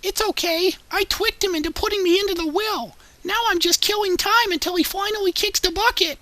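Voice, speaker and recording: A woman's voice: very high-pitched, brisk, a bit bright, no echo. A woman voicing a scheming, foul-mouthed little child with a lisp and a sweet front in a comedic cartoon parody. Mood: sly.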